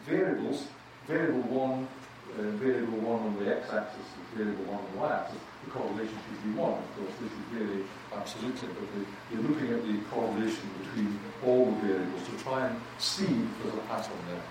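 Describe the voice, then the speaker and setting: A man's voice: lowish voice, a little fast, hardly any pauses. An older man with a Scottish accent giving a chatty, self-deprecating university lecture, often repeating words. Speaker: guest lecturer. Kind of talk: academic lecture. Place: large lecture hall. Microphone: handheld mic, heard from a distance. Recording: echoey hall, distant mic, faint noise.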